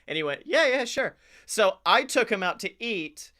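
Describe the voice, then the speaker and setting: An American man with a bright, forward voice hosts a comedy podcast. He tells casual, funny stories in an animated way, swearing freely and chuckling as he talks. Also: sits high, normal speed. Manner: animated, swearing, often chuckling while talking. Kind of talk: casual comic storytelling.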